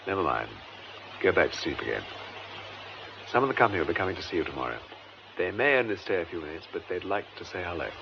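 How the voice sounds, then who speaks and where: An actor playing a composed, shrewd British gentleman detective in a radio drama. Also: deep voice, big pitch swings, quick pace, vocal fry, pauses often, highs cut off, noisy background, full character voice. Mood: gentle, probing.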